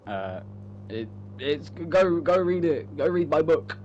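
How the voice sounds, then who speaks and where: A man's voice: fairly high pitch, animated, slow, a little mellow. Man, casual chat, repetitive, joking, rambling, muffled audio, faint hum. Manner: self-interrupting, repetitive, joking, swearing casually. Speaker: writer promoting own online story.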